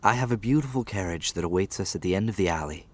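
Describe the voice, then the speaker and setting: A stately storybook lord, acted by a man, with a low voice. He is calm and knowing, choosing formal, old-fashioned words as he offers smooth reassurance. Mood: calm, reassuring. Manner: formal, archaic, unhurried phrasing. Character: stately wealthy lord, calm, insightful, smooth-talking rescuer. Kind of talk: dramatised audiobook acting.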